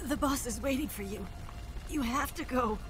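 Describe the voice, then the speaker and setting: Woman acting a high-voiced, wounded spy heroine. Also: moderate pace, natural voice. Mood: pained, resigned. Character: wounded, defiant young female spy, teasing and weary. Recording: game audio under loud music.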